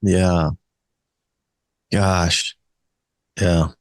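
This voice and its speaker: A man's voice: sits low, level tone, drawn-out words, dry recording. A man in his sixties hosting a conversational interview, easygoing and asking open questions. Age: 60s. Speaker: podcast host.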